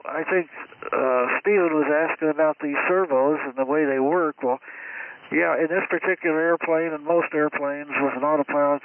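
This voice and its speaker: A man talking casually over two-way radio, folksy and unhurried, as an amateur operator. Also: a little high. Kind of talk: casual chat and explanation over ham radio. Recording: distant, tinny radio with faint static.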